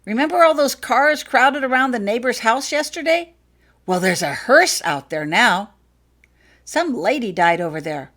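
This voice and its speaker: Woman voicing a loud, excitable young boy. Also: a little high, measured pace, pitched above the performer's own voice. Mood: excited, morbid glee. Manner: loud, eager, blurting in short bursts. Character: excitable young boy shouting morbid news.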